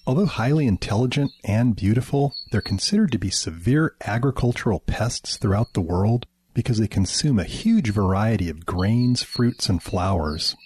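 A man who researches biology reads a scripted science segment for radio in a calm, informative way. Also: medium pitch, slight background noise.

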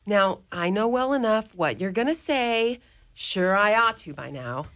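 A woman playing an outspoken elderly mother superior of a convent in a community radio play, talking brisk and firm. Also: mid-range voice, lively intonation, a bit bright. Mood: wry. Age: older. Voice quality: brisk, firm and preachy, with ready laughs. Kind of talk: community radio drama acting.

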